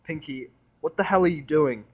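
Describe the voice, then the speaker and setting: A man's voice: a little high, moderate pace, highs cut off, voice pushed up, close to an everyday voice. Man acting a bitter, snappish human misanthrope. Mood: irritated. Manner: snappish, ranting, swearing. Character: bitter, hostile, sarcastic human male misanthrope.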